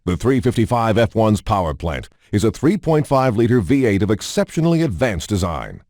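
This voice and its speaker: A man reading scripted promotional narration about cars in a polished, measured announcer manner, as in a video game showcase. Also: lowish voice, very animated, a little mellow, no room sound, clean studio sound. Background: music.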